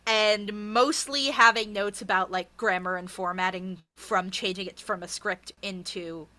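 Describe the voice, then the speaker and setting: A woman author being interviewed, chatting casually in an animated, self-deprecating, sweary way. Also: medium pitch, a little slow, dry recording.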